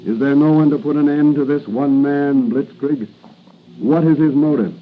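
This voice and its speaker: A man's voice: a little high, moderate pace, lightly acted. An American man announcing and narrating with dramatic, scripted 1940s radio delivery. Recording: muffled, noisy 1940s radio recording.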